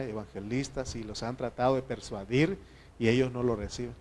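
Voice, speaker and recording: A man from Mexico with a Mexican accent preaching a sermon to his church, earnest and conversational, often pausing on fillers and restarting phrases. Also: mid-range voice, a little mellow, a little hiss or hum. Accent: Mexican Spanish. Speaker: pastor.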